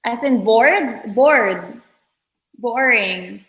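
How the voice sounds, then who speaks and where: A woman's voice: fairly high pitch, lively intonation, drawn-out words, fairly bright tone, echoey room, poor audio. A young woman, a pageant titleholder, playing a casual word game on a live video chat, giggling and exclaiming often. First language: a language other than Tagalog.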